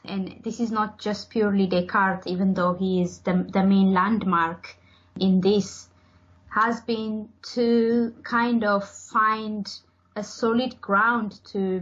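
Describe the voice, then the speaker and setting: A woman's voice: mid-range voice, a bit bright, highs cut off. A young woman from Ethiopia, a PhD student, answering interview questions in a thoughtful, hesitant way with many fillers. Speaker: podcast guest, PhD student.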